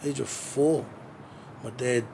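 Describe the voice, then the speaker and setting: A man's voice: medium pitch, steady tone, takes it slowly, gravelly. A man telling his story loosely, swearing constantly and laughing easily. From New Zealand. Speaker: musician guest. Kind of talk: casual interview chat. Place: balcony outdoors. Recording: outdoor balcony with background noise.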